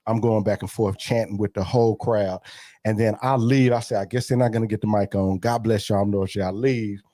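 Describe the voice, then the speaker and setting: Man in his forties with a low, mellow voice. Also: a little fast, no echo, studio quality. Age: 40s. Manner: animated storyteller, acts out quotes. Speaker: gospel artist guest. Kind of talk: casual interview storytelling.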